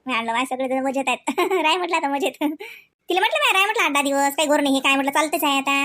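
A woman plays a homely village woman from a family cartoon, gossipy and colloquial in a rural Varhadi Marathi accent. The voice is very high and the talk runs fast, in a dry, close sound. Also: lively intonation, sharp tone, no room sound. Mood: chatty. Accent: Varhadi Marathi, rural Vidarbha. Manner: gossipy, colloquial, drawn-out kinship exclamations.

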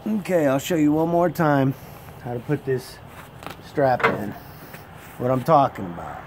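A man in his thirties, a flatbed truck driver, giving a casual how-to on his phone camera outdoors beside his trailer, rambling, restarting and cursing freely. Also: medium pitch, measured pace. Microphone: handheld phone camera, close. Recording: loud outdoor noise. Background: traffic and vehicle noise.

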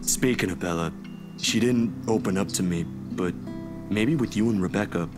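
Male actor as weary, troubled young friend in a game, low-pitched, guitar music underneath. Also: moderate pace, close to an everyday voice. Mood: subdued, confiding. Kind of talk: visual novel game acting.

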